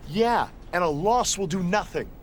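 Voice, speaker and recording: Casting: arrogant young wrestling rival, swooping intonation, scornful game dialogue, light ambience behind. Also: male voice, high-pitched, expressive, normal speed, a little hiss or hum. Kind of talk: acted video game cutscene dialogue.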